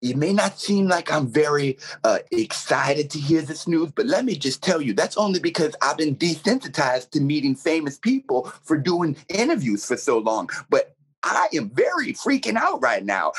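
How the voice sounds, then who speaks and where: A man playing an eccentric, earnest werewolf radio DJ being interviewed on a comedy show, husky, theatrical and rambling. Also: fairly high pitch, a bit bright, full character voice. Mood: earnest, awestruck. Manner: theatrical, rambling, swooping emphasis.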